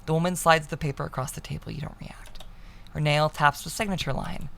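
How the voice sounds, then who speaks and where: A woman reading a visual novel aloud as dramatic narration, with hushed, breathy phrase endings. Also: deep voice, fairly even intonation, a little fast, slight background noise. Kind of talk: dramatic second-person story narration.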